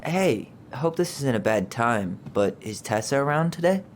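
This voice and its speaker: A man's voice: medium pitch, very animated, moderate pace, slight background noise, lightly acted. An actor playing an earnest, probing young man in a naturalistic video game drama. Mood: polite, casual. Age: young adult.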